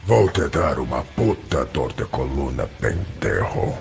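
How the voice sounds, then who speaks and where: A hulking, brutal bounty hunter from a fan cartoon. The voice sits extremely low and rasps with heavy gravel. Music plays behind every threat. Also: male voice, expressive, normal speed, a little mellow, exaggerated voice. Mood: menacing. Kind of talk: animated fan film dialogue.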